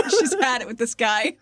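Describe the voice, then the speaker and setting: A woman's voice: on the high side, a bit bright, no room sound. A woman playing a tabletop roleplaying game, casually narrating what her character does.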